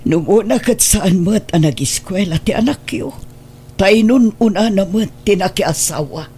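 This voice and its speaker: A man's voice: high-pitched, animated, unhurried, darkish tone, a little hiss or hum. A man playing an anxious elderly parent fretting over a sick grown child, in a radio drama. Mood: consoling, worried. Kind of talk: Ilocano radio drama acting.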